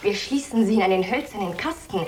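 An actress playing a tsar's decisive, outspoken young daughter in a children's radio play, speaking firmly and emphatically. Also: female voice, medium pitch, brisk, darkish tone, recorded from a distance, slight background noise, lightly acted.

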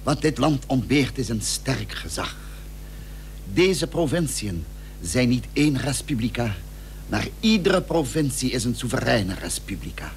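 An actor playing a courteous, persuasive statesman in a period radio drama, with formal, measured, confiding speech. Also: mid-range voice, sing-song. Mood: concerned. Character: courteous, persuasive male statesman of the Dutch Republic. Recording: humming, noisy old radio recording.

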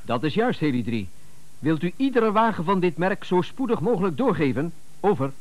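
An actor playing a brisk police radio dispatcher in a radio drama, formal, clipped and procedural. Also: male voice, high voice, sing-song. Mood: official.

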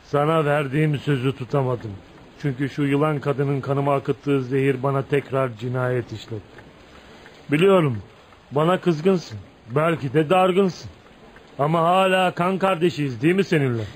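A man's voice: fairly high pitch, normal speed, close to an everyday voice. An actor playing a bitter, tormented outcast man of thirty-five in a radio play, with dramatic, emotional delivery. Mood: wistful, pleading. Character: tormented, bitter 35-year-old outcast man, brooding and volatile. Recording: noisy radio drama recording.

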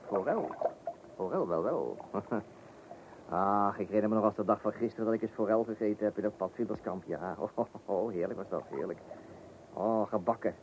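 An actor playing a bumbling, chatty amateur angler in a radio play, rambling, hesitant and repeating himself. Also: male voice, lowish voice, moderate pace, darkish tone, natural voice. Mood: chatty, jovial. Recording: dull, noisy old radio play recording.

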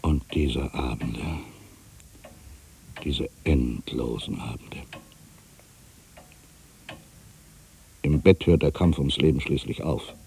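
An actor playing an aging, world-weary writer in an introspective, theatrical literary monologue, anxious and brooding, given to grandiose fancies. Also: male voice, rumbling low, vocal fry, a little hiss or hum, full character voice. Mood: weary, hushed. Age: older. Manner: theatrical, introspective, swinging between hushed and emphatic delivery.

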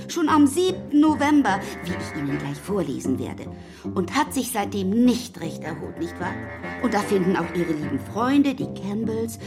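An actress voicing a fussy, kindly spinster aunt who rambles on breathlessly in a radio play. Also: female voice, medium pitch.

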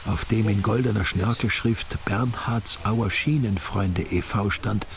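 An older man narrating a radio drama in a measured, wry way. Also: lowish voice, background noise. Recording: studio radio play with music.

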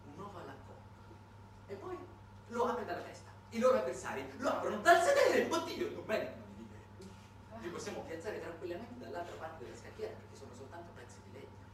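A man narrates a satirical stage monologue. His delivery is conversational and dramatic, with animated pitch and shifting volume. The recording is very reverberant and distant, with clear background noise. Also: quick pace. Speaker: solo theatre performer and narrator. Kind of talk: theatrical monologue, satirical storytelling.

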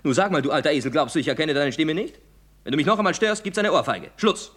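A man playing a wry, excitable gentleman playwright who muses with playful pomposity in a radio play. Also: fairly high pitch, quick pace. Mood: mock-scolding.

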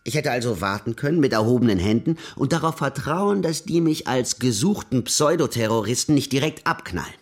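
An actor playing an agitated, irritable man on the run in a radio crime drama, talking in excitable slang. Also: medium pitch, fairly quick, hardly any pauses. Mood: wry, recounting.

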